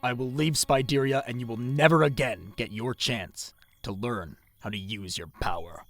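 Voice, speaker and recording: A man voicing a solitary male warrior mentor in an audio drama, grave and measured, with a gravelly voice. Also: mid-range voice, lightly acted.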